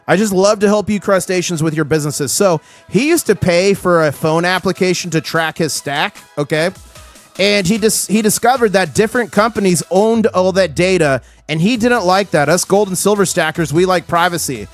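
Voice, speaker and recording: A man hosting a casual livestream, his voice hoarse, talking with excitable hype-man energy. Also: high voice, moderate pace, soft background music. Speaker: livestream host.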